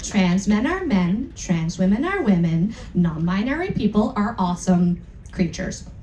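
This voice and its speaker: A woman's voice: medium pitch, very animated, unhurried. A woman hosting a live event, giving an informal, candid and irreverent introduction to an audience. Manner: candid, irreverent, casually sweary. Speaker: event host introducing a dramatic reading. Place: indoor event room on a cruise. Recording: roomy live event sound with noticeable noise.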